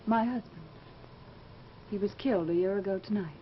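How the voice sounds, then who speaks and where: An actress playing a lonely, yearning widow in a vintage radio horror drama, speaking graciously with wistful, dramatic intensity. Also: female voice, medium pitch, moderate pace, close to an everyday voice. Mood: composed, gracious. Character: lonely, yearning widow of a musician, haunted and eerie. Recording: noisy, dull vintage radio recording.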